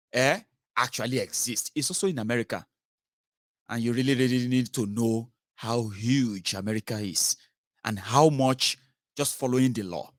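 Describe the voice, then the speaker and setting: A man's voice: medium pitch, no room sound, studio quality. A man with a Nigerian accent hosting a political phone-in, talking conversationally and emphatically, with rhetorical questions and tags. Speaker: host of a political phone-in show. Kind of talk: conversational political commentary with callers.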